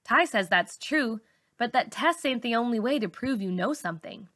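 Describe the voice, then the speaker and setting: Bright-voiced woman reading a story aloud. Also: a little high, animated, normal speed. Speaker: teacher reading aloud to students. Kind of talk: first-person children's novel narration, read aloud.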